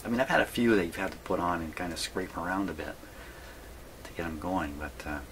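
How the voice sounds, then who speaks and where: A man's voice: deep voice, a little fast. A man thinking aloud in a rambling, folksy way as he casually narrates a hands-on repair vlog from his workshop. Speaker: hobbyist host repairing vintage radios. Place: indoor radio workshop. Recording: clear background noise.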